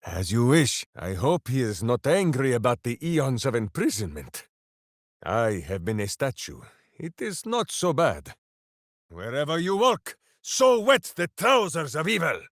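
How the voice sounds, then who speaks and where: A man's voice: mid-range voice, wildly swooping pitch, measured pace, no echo, clean studio sound. A man voicing a hulking, earnest ranger warrior with a pet hamster, who refers to himself in the third person and makes grand heroic proclamations. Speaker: video game character. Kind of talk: game voice lines.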